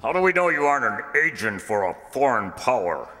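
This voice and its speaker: An actor playing a pompous, hawkish military general, suspicious and blustering, in a comic radio play. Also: male voice, mid-range voice, very animated, a little fast, bright, forceful tone. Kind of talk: comic science-fiction radio play acting. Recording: echoey hall with long reverb.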